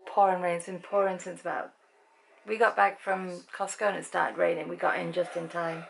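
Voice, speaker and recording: A woman in her fifties from Britain with an English accent, chatting to a handheld camera in a dim room at night. Her voice is croaky, and she rambles and laughs often. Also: lowish voice, level tone, normal speed, low-quality recording. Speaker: vlogger. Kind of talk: casual chat to camera. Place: bedroom at night, dim lamp light. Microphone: handheld camera at arm's length.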